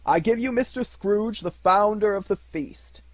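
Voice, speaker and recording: A man voicing a mild, gentle, cheerful poor father in a raised, husky voice. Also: high-pitched, unhurried, dry recording, pitched above the performer's own voice.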